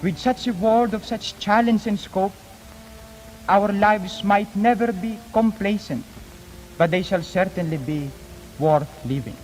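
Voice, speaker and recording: A young man, an exchange student, delivering an earnest, formal prepared speech in measured rhetorical phrases. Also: high voice, a little mellow. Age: young adult. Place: large reverberant hall. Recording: 1950s archive, echoing hall, background noise.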